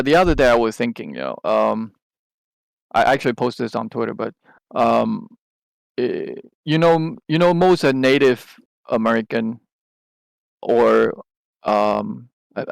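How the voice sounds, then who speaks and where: Gravelly man. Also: medium pitch, lively intonation, moderate pace, dry recording, poor audio. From Taiwan. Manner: rambling, with many restarts and hesitant fillers. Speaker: podcast co-host. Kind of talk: casual chat.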